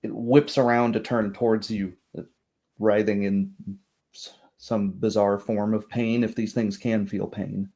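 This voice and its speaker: A man's voice: fairly low pitch, flat delivery, a little slow, dark tone. A man working as game master, narrating a tabletop roleplay game in descriptive, literary language.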